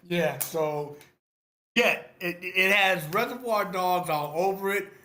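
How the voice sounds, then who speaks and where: A bright, fairly high-voiced man delivers an informal script critique. He is theatrical and emphatic, hammering phrases by repeating them, and the room around him echoes. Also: takes it slowly. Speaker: screenplay reader giving feedback. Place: online video meeting.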